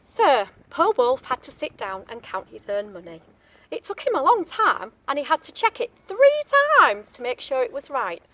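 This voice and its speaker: A woman's voice: high-pitched, big pitch swings, normal speed, fairly bright tone. A woman reading a picture book aloud to young children, expressively, with chatty asides and questions to her listeners. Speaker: storybook reader, teacher-like.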